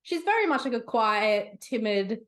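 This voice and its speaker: A woman's voice: on the high side, sing-song, normal speed, a bit bright. A young woman in her twenties, an author being interviewed, talking in a bubbly, rambling way with many "like" fillers and light laughs. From half Romanian, half Sri Lankan heritage. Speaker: author guest. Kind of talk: casual interview chat.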